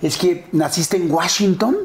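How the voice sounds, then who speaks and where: Male interview host, Mexican accent, fairly high voice, chummy and conversational, recorded in an echoey room. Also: measured pace, slight background noise. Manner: familiar, chummy address. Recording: roomy echo.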